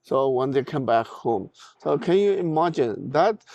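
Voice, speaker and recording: A middle-aged man with a Tibetan accent, whose first language is Tibetan, speaks as a podcast guest, answering haltingly with many restarts and fillers. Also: mid-range voice, very animated, gravelly, a little mellow, no echo, highs cut off. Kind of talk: interview answers.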